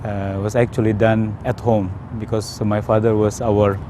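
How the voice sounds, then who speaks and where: A man from Indonesia with an Indonesian accent, telling his personal story in a hesitant, careful way with frequent pauses. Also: on the low side, level tone, noisy background. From Indonesia, South Sumatra. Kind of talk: unscripted personal testimony.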